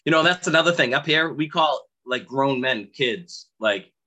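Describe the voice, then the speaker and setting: A man's voice: mid-range voice, lively intonation, moderate pace, bright, forceful tone. A man with a thick Boston accent, a sprinkler fitter guest chatting bluntly on a podcast and telling stories about work. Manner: blunt, storytelling, quotes himself. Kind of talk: casual podcast chat.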